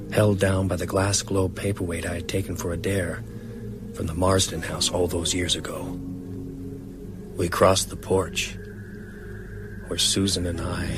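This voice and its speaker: An actor playing a haunted but determined novelist turned vampire hunter in a radio drama. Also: male voice, low-pitched, natural voice. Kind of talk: radio drama acting with first-person narration.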